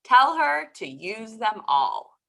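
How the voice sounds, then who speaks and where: Woman acting a wise storybook rabbi, male, unhurried, solemn pronouncements, bright forceful delivery. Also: fairly high pitch, sing-song, creaky, full character voice. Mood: sure, pronouncing.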